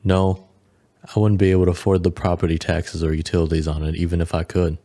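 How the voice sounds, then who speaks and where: A man plays a dejected everyman in an audio drama. His voice sits low, and he talks like someone whose luck has run out. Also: level tone, a little fast, warm tone. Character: dejected, down-on-his-luck man who bought a bad building.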